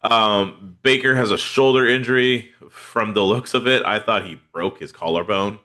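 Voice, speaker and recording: Man, very low voice, bright edge, congested, rambling podcast host. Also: moderate pace. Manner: laid-back, rambling with many fillers. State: congested from a head cold. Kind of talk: casual fantasy football chat.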